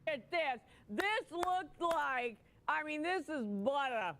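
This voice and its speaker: Woman, home shopping presenter, bright tense voice, higher pitch, playful chatty pitch, replayed broadcast audio. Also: animated, takes it slowly. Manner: playful, chatty, teasing co-host. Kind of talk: live on-air sales pitch.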